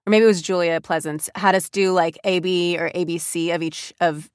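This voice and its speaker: A woman's voice: on the low side, steady tone, brisk, smooth, dry recording, studio quality. A woman voice actor rambling easily in a casual group chat.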